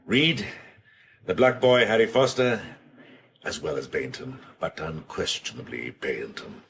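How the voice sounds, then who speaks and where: An actor playing a grim police officer with a gravelly voice and a halting, emphatic delivery for radio drama. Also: male voice, sits low, sing-song, fairly bright tone, slight background noise, exaggerated voice. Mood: grim, brooding.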